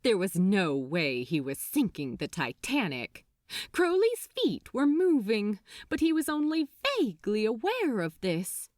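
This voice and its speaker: A woman reading a story aloud as a dramatic, expressive and deliberate narrator. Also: mid-range voice, dry recording, studio quality. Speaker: audiobook narrator.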